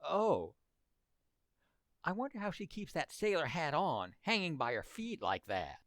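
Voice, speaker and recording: A man's voice: on the high side, very animated, unhurried, fairly bright tone, no echo. Guy doing a grumbly teen boy in an old radio comedy, kinda hesitant, voice goes all over, dry sound. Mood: doubtful. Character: Homer, grumbling, wary teenage boy, sweet on his girl.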